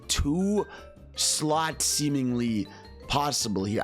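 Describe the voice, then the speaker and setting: A man with a medium-pitched voice talks as a gaming video creator. His commentary is casual and excited, rambling along in slangy, gushing fan talk. Faint music plays behind him.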